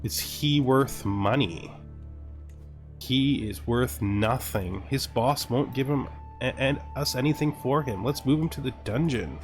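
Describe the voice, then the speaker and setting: A man giving casual gameplay commentary, thinking aloud and often muttering questions and then answering them himself. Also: medium pitch. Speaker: gamer narrating a let's play.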